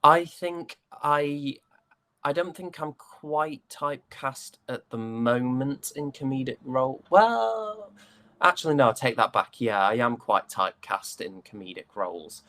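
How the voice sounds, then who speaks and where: A man's voice: medium pitch, measured pace, a bit bright. A man, a stage actor, chatting casually in an interview in a hesitant, reflective way, often correcting himself.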